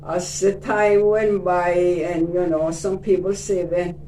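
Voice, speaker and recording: An older woman in her seventies from Cyprus, Greek is her first language and she speaks English with a Greek Cypriot accent, telling her life story gently and unhurriedly, often mentioning her faith. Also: lowish voice, steady tone, unhurried, slight background noise. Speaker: interview guest. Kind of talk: casual life-story interview. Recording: echoey room, distant mic.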